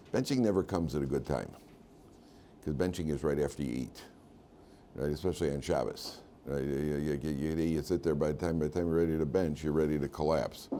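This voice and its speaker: Very low male voice, hoarse, American accent, rabbi teaching informally, halting repeated phrases, slight background noise. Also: warm tone. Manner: halting, repeats words and restarts often. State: hoarse from medication. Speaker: rabbi giving a Torah class. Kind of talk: informal religious lesson.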